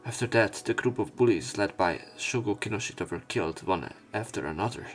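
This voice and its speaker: Deep-pitched male, visual novel read-aloud, dramatic narration, soft background music. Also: a little fast. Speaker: let's play narrator. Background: faint game music.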